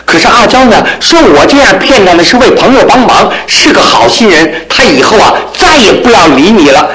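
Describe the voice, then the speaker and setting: A man acting in a radio drama as a bumbling, good-hearted bachelor in his thirties, stammering and rambling excitably. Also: sits high, fairly quick, echoey room, crowd noise, poor audio. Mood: flustered. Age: 30s.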